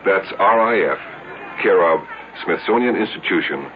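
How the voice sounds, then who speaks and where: A man's voice: low voice, lively intonation, moderate pace. An earnest American man reading a scripted public service appeal on radio. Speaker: charity appeal announcer. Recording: tinny old radio, clearly noisy.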